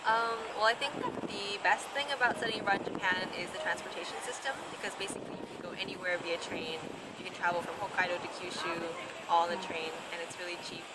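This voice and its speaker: A woman's voice: lowish voice, fairly even intonation, quick pace, heavy background noise. A young woman from Hawaii giving matter-of-fact answers in an interview. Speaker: guest.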